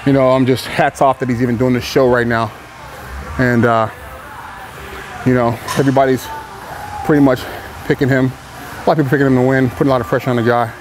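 A man's voice: medium pitch, level tone, brisk, noisy background. A man in his thirties, a pro bodybuilder, talking casually and candidly to the camera between sets in a large indoor gym, with loose, rambling phrasing. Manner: laid-back, candid, rambling with fillers. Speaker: professional bodybuilder. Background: music.

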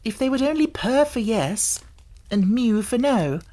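A woman's voice: on the high side, expressive, a little slow, warm tone, pitched above the performer's own voice. A woman voicing a spirited, bossy yet affectionate young girl in a storybook audiobook, with emphatic, scolding and coaxing delivery. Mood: mildly vexed.

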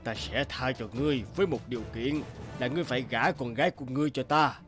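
Man doing a booming gruff monster. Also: fast, natural voice. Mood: demanding. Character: huge ugly gruff male beast, commanding and threatening. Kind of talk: cartoon villain acting. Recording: loud music bed behind voice.

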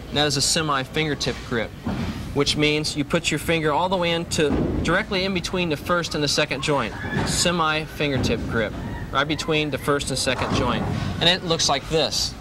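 A man's voice: fairly high pitch, fairly quick, background noise. A man in his thirties, a pro bowler, explaining grips and shoes in an instructional video, recorded indoors with a lapel mic and repeating the key points. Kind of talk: instructional demonstration. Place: indoor bowling alley.

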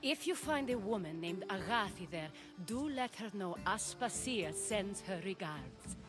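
An actress playing a shrewd noblewoman of ancient Athens in a game, measured and discreet in her delivery. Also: mid-range voice, animated, close to an everyday voice. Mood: knowing. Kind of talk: video game cutscene acting. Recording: music under the voice.

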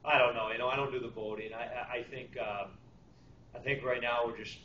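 Man, middle-aged, hockey coach, postgame press answers, halting, far mic, hissy lo-fi. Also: medium pitch, steady tone, sharp tone. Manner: halting, many fillers and restarts. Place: small press room with draped table. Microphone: far camera. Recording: echoey room, far mic, dull lo-fi, noisy.

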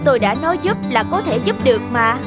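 A woman's voice: a little high, big pitch swings, fast, loud music behind the voice. A woman playing a vain, pushy middle-aged male official in a cartoon folktale, insistent and boastful. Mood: persuasive.

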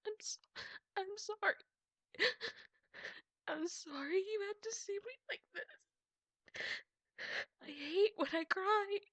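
A woman playing a heartbroken young girlfriend in an ASMR roleplay, crying and pleading in halting phrases, often slipping into a breathy whisper. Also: very high voice, a bit bright, no echo, heavily acted. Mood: tearful, embarrassed. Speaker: roleplay voice actor. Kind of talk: intimate ASMR roleplay acting.

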